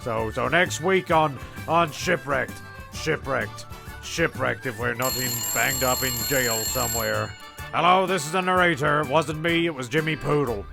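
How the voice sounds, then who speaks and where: Shifty, creaky-voiced man acting as radio play narrator. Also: mid-range voice, measured pace, over music. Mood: rambling, defensive. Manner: rambling, hesitant, evasive. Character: shifty, self-pitying male narrator of castaway comedy. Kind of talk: comic radio play narration, semi-improvised.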